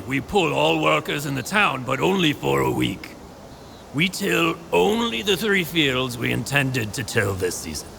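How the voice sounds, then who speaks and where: An actor playing a cautious, stubborn townsman in an audio drama, speaking with emphatic oratory and a gravelly voice. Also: mid-range voice, pushed and bright, a little hiss or hum, exaggerated voice. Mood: objecting. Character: cautious, stubborn townsman urging appeasement and survival.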